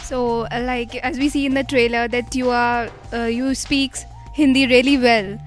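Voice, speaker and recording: High-voiced woman interviewer, hesitant. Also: flat delivery, moderate pace, soft background music. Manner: hesitant, uses "uh, like" fillers. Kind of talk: press interview questions.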